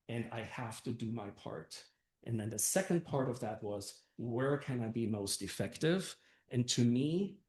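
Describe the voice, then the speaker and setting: A man's voice: medium pitch, a little mellow. An older man who grew up in Austria and Germany, a first-time council candidate speaking earnestly at a community meeting, with many fillers. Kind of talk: campaign speech at a meeting. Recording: echoey room.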